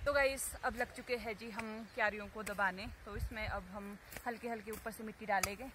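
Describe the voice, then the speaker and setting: A woman's voice: medium pitch, fairly even intonation, fairly quick, background noise. A young woman from Himachal Pradesh chatting to her viewers as she plants potatoes outdoors, filmed on a phone. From Himachal Pradesh, India. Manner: chatty, explains farm work to viewers. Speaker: vlogger. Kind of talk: casual narration to camera. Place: hillside farm field outdoors. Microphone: phone camera, arm's length and set on ground.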